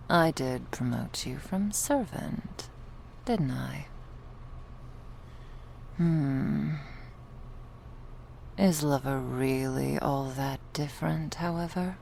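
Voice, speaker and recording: A very slow, low-pitched female vampire lover, acted by a woman murmurs in an intimate ASMR roleplay, half whispered and breathy. She is drowsy, possessive and teasingly fond, with languid, courtly phrasing and a creaky edge. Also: expressive, darkish tone, slight background noise, full character voice. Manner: languid, formal archaic wording, teasing. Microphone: close microphone, dry.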